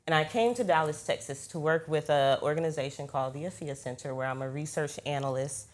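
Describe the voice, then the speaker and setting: A woman's voice: low voice, a little fast. A woman from New Jersey introducing herself casually as a panelist. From Trenton, New Jersey. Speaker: panelist, research analyst.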